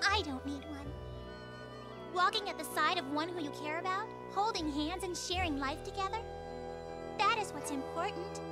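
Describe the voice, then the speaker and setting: An actress playing a devoted, spirited young warrior princess in a video game, speaking earnestly and tenderly. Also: female voice, extremely high pitch. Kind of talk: English-dubbed video game cutscene acting.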